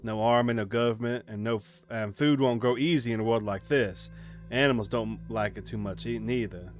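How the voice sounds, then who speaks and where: A man's voice: medium pitch, moderate pace, soft background music, natural voice. Man reading as haunted country boy, plainly narrated. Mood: somber, reflective. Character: haunted country boy Runt recounting his childhood. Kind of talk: reading visual novel narration aloud.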